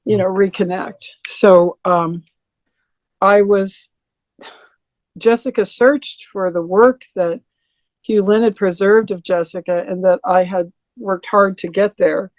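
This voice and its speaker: An older woman with a deeper voice, reminiscing in a rambling way with many restarts during a video call interview. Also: steady tone, slow pace, darkish tone, no room sound. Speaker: interview guest.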